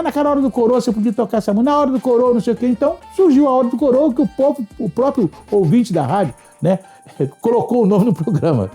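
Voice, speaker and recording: An older Brazilian man, a radio presenter, reminiscing casually in a raspy, gravelly voice. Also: high-pitched, dark tone.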